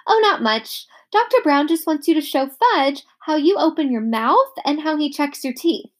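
A woman's voice: high-pitched, animated, pitched above the performer's own voice. A woman doing a sugary, cooing nurse. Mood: coaxing. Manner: slow, sugary, coaxing. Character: sweet, cooing female dental nurse.

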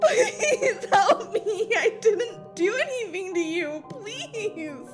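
A woman's voice: very high-pitched, animated, extremely slow, reverberant, in a large hall, exaggerated voice. A woman acting as a frightened, loyal friend, emotional and imploring, in a horror audio drama. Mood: terrified, sobbing. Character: terrified, loyal young woman pleading with possessed friend. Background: music.

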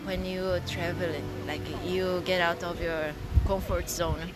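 A woman's voice: fairly low pitch, level tone, slow pace. A woman with a Brazilian Portuguese accent, a native Portuguese speaker, answering interview questions in casual English, hesitant with many fillers and restarts.

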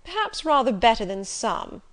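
A woman reading the lines of an imperious, brusque gentleman who questions and gives orders in a sardonic, commanding manner. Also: on the high side, big pitch swings, moderate pace, voice pushed up.

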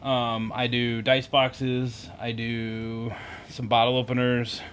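A man of middle pitch is a podcast guest, talking in a relaxed, joking way with pauses. He sits back from the mic, and faint noise and clicks sit behind him. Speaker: guest, woodworking shop owner. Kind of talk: casual podcast interview. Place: indoor recording room. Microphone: sitting far from a podcast mic.

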